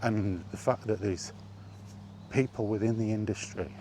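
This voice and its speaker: A man's voice: lowish voice, unhurried, warm tone, a little hiss or hum. A man talking off the cuff in an exasperated gaming rant, emphatic and full of rhetorical questions. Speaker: solo video game vlogger.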